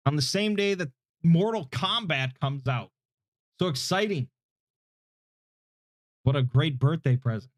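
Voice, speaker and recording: A man's voice: fairly high pitch, lively intonation, a little slow, pauses often, no room sound, clean studio sound. A man of thirty answering viewer questions in a casual, hesitant, self-deprecating chat, with a relaxing voice on a slightly muffled desk mic. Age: 30s. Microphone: desk microphone without his usual equalizer, slightly muffled.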